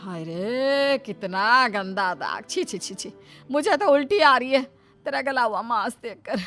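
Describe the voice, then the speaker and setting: A woman's voice: on the high side, animated, a little slow, a bit bright, soft background music, pitched above the performer's own voice. A woman voicing a jealous, spiteful middle-aged mother-in-law in a cartoon story, with dramatic, exclaiming scolding. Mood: scolding, disgusted.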